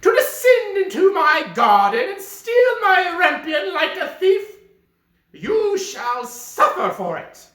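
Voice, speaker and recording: A man voicing a menacing, imperious old enchantress from a storybook in a theatrical, commanding, drawn-out manner. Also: extremely high pitch, very animated, sharp tone, reverberant, pitched above the performer's own voice, full character voice. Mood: furious.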